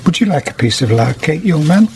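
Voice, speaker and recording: An actor playing a reclusive, polite weaver in a radio drama, hesitant and reserved, saying little. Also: male voice, a little high, sing-song, a little mellow, a little hiss or hum. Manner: halting, reserved, few words.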